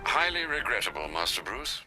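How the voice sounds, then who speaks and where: An actor playing a dutiful, refined older butler in a video game, formal and gravely concerned. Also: male voice, fairly low pitch, sing-song, music in the background, poor audio. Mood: grave dismay. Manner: formal, deferential, measured, dryly understated. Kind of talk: video game cutscene acting.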